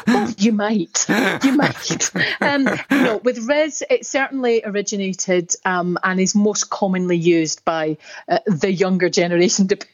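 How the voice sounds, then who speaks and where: A woman's voice: mid-range voice, measured pace. A woman with a Scottish accent, a dictionary editor guest on a radio interview, explaining thoughtfully with fillers and restarts. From Scotland.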